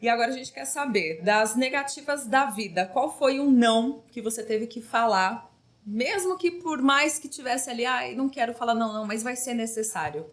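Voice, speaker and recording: A woman's voice: on the high side, expressive, normal speed. Chatty young woman hosting a podcast. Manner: chatty, laughs easily, asks long rambling questions. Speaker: podcast host. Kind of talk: casual interview chat.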